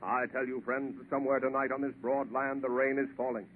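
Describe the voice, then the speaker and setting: A man's voice: medium pitch, flat delivery, brisk, lightly acted. A man acting as a pompous orator who grandly declaims a 1940s radio advertisement. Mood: grandiose. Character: pompous male orator grandly hawking a product. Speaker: commercial pitchman. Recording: narrow-band, faintly noisy 1940s radio recording.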